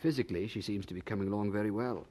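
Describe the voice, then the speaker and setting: Low, husky, eager young churchman played by a man. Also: racing, warm tone, natural voice. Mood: thoughtful, curious. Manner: earnest, slightly stammering. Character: kindly, eager young male Oxford churchman, sincere and gently probing. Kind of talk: radio drama acting.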